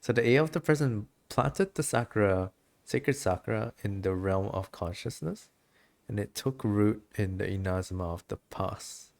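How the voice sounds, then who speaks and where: A man livestreaming a game, reacting casually and excitably and sometimes reading the text aloud. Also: fairly low pitch, normal speed, creaky. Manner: excitable, giggly, stumbles when reading. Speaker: game streamer.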